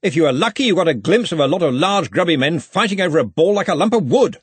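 A man's voice: fairly high pitch, expressive, fast talker, husky, no room sound, pitched above the performer's own voice. A man voicing a pompous, commanding older wizard who runs a college, in an audiobook. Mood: pompous, assured. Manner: blustering, bellowing, commanding. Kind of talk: audiobook character acting.

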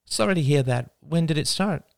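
A man's voice: on the high side, expressive, moderate pace, gravelly, no room sound, studio quality, voice pushed up. A man voicing a weary young mother who coaxes her small son in a slightly forced sing-song. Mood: coaxing.

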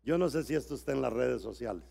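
A middle-aged man from El Salvador preaching a sermon at a church conference, dropping to quiet storytelling and then building to shouted exhortation. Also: mid-range voice, expressive, quick pace, creaky, husky.

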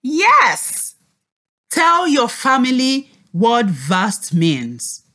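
A woman reads and teaches for young children. Her voice is fairly high and very animated, and she speaks slowly and deliberately, with an encouraging, expressive delivery. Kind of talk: children's read-aloud lesson.

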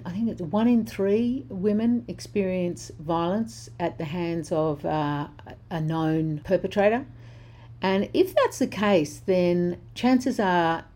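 A woman's voice: on the low side, warm tone. An older woman, host of a social justice talk, speaking bluntly and passionately while she is losing her voice. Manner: impassioned, blunt, conversational. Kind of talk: spoken commentary on social justice.

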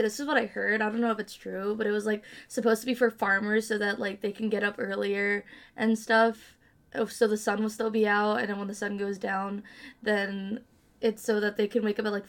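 A woman's voice: mid-range voice, steady tone, a little fast. A woman hosting a casual podcast chat, asking curious questions in a chatty way. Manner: chatty, curious, many likes. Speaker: podcast host.

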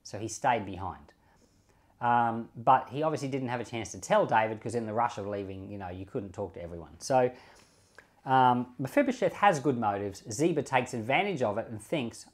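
Man, mid-pitched voice, Bible reading and devotional commentary, deliberate, emphatic stresses. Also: expressive. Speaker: Bible reader and pastor giving commentary.

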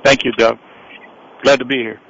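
A man appearing as a guest on an interview show over a remote connection, speaking politely and a little haltingly. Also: mid-range voice, flat delivery, vocal fry, fairly bright tone, husky, lo-fi sound. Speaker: guest entrepreneur. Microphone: remote call.

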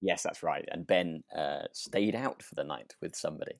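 Deep, creaky man chatting on a podcast. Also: normal speed, dry recording, clean studio sound. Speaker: podcast co-host. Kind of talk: casual chat, recapping a radio drama.

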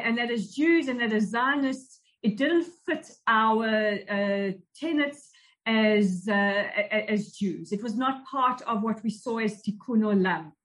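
Interview guest: South African woman, fairly high voice, thoughtful storyteller. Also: fairly bright tone, poor audio. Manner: measured, reflective, with frequent restarts. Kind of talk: personal recollection in an interview.

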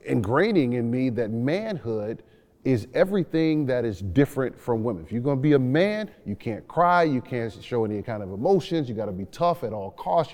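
A man's voice: medium pitch, dark tone. A man in reflective conversation as a co-host, thinking aloud and often repeating words as he goes. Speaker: podcast co-host. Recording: long echoing reverb.

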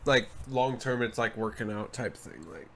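A man's voice: on the low side, expressive, normal speed, a crowd in the background. A man in casual chat on a video call who teases his friend and mostly answers in short interjections. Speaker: podcast co-host.

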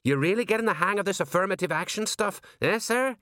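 A man's voice: sits high, animated, fast, bright, forceful tone, no echo, clean studio sound, voice pushed up. A man voicing an eager, deferential police sergeant in an audiobook, obliging and chatty. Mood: eager to agree.

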